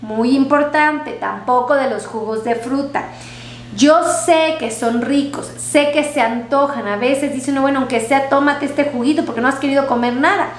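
A woman's voice: sits high, moderate pace. A woman doctor gives health advice in a chatty way, repeating herself and using diminutives, recorded on a headset mic in a small clinic room. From Mexico. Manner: warm, repetitive, uses diminutives. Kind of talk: casual health talk to viewers. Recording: echoey room with faint hum.

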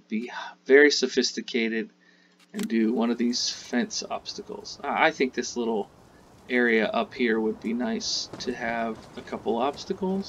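A man's voice: mid-range voice, lo-fi sound. Man chatting through a farming game. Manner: relaxed, chatty, talks while playing. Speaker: gaming channel host. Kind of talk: casual gameplay tutorial.

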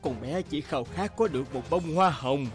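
A man voicing a gentle, worried middle-aged merchant father in a cartoon fairy tale, with a hesitant, sighing delivery. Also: fairly high pitch, normal speed, natural voice. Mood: pleading. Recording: loud music bed behind voice.

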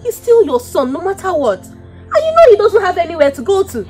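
A woman's voice: very high voice, big pitch swings, a little fast, darkish tone, faint music behind. A woman with a Nigerian accent playing a loyal young adult daughter who implores and repeats her pleas in a film drama. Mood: pleading. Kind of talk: Nollywood film drama acting.

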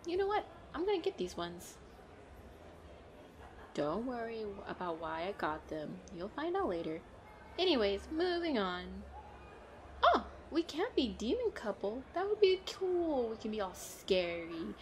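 A woman playing a playful, excitable girlfriend in a chatty roleplay audio, with a bubbly, expressive delivery. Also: fairly high pitch, a little slow, slight background noise. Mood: excited, teasing. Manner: bubbly, expressive, frequent giggles and exclamations. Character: playful, excitable, pleading girlfriend out costume shopping.

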